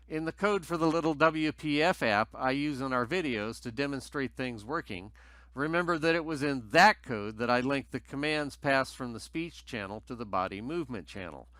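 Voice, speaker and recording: A man with a bright, forward voice at a middle pitch presents a tutorial. He explains things methodically in scripted narration, recorded close and very dry. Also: no room sound.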